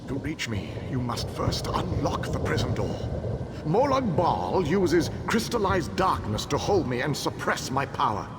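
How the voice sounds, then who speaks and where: An actor playing a proud ancient elven king and calculating tactician, speaking in a measured, formal, commanding way in a video game. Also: on the high side, sing-song, heavy background noise. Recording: long hall reverb with loud ambience.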